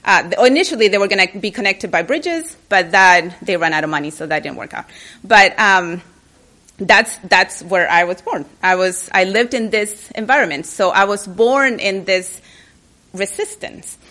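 A woman's voice: medium pitch, expressive, moderate pace, pushed and bright. A woman gives a personal keynote speech at a library event, chatty and openly nervous, often restarting her sentences. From Chile. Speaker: keynote speaker.

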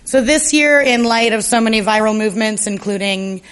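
Host, woman, higher voice, measured, reads a prepared welcome and introduction. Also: fairly bright tone. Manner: clear and measured, reading prepared remarks. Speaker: event host, shop director. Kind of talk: formal welcome and speaker introduction. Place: public library event.

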